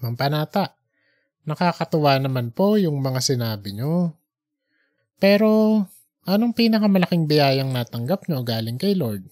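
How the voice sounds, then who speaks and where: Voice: medium pitch, animated, measured pace, long pauses, a little mellow, close to an everyday voice. A performer voicing a respectful, inquisitive young male interviewer in an audiobook story. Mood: polite, curious. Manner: respectful, questioning. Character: polite, curious young male interviewer, easily baffled. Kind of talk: fictional audiobook character dialogue.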